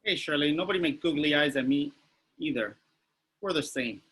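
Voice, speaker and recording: A man's voice: on the high side, normal speed, fairly bright tone, slightly rough. A man playing a crude, boastful, oblivious older man in a sitcom table read. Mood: crass, grumbling. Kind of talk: sitcom script read aloud.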